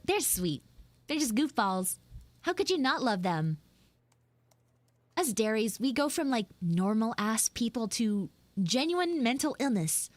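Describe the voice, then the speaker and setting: A woman voicing a sassy, flustered high school tsundere frog girl in a casual, blunt, rambling live audio roleplay. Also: no echo. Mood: fond, chatty. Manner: blunt, snarky, swearing, rambling asides.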